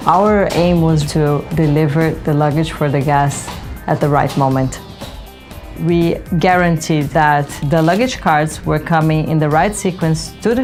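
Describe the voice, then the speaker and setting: A woman's voice: sits low, a little mellow. A middle-aged woman from Brazil who later lived in Germany, speaking as a consultant in interview soundbites for a promotional film. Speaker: senior consultant, project manager. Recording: music behind the voice. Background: background music.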